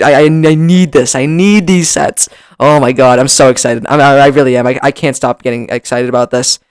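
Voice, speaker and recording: A man hosting a casual vlog, talking excitedly with lots of restarts, his voice slightly hoarse from long recording, close on a desk mic. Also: medium pitch, no room sound. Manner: excitable, rambling with many restarts. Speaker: YouTuber. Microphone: desk mic, bumped at times.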